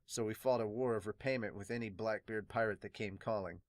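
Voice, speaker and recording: A man playing a weary, solemn pirate doctor in a fan manga dub, subdued, measured and earnest. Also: lowish voice, steady tone, dry recording, pitched below the performer's own voice, lightly acted. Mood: solemn, reflective. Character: weary, solemn veteran pirate doctor recounting his late captain.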